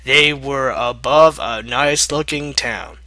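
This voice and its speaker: A man's voice: mid-range voice, slow pace, bright, forceful tone, dry recording. A man reading a fan-written story aloud as a narrator, with a mocking, sarcastic edge. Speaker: fanfiction reader.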